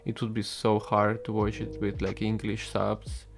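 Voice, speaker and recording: A man's voice: lowish voice, completely flat delivery, unhurried, vocal fry, music in the background. A young man whose first language is Russian, chatting casually and rambling with stream viewers while he codes, swearing now and then. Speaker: solo game developer streaming.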